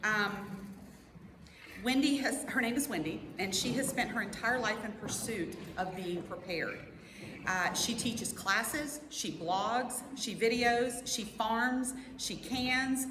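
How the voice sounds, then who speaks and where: A woman's voice: on the high side, slow pace, a bit bright. Woman presenting in an echoey, noisy hall. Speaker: workshop presenter at a church women's meeting. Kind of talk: informal prepared talk to a group. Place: large echoey indoor room. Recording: echoey hall with clear background noise.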